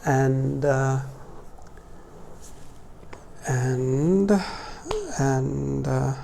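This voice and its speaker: A man in his forties with a Hungarian accent, talking informally to a small religious class in a hesitant, halting way with pauses. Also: medium pitch, level tone, creaky, dark tone, slight background noise. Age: 40s. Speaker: religious teacher.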